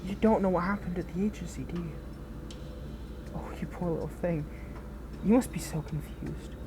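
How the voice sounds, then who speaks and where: A woman playing a protective, bitter but caring fallen hero in an audio roleplay, speaking intimately and earnestly with halting, dramatic pauses. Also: lowish voice, over music, natural voice. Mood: tender, pitying. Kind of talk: scripted audio roleplay addressed to the listener.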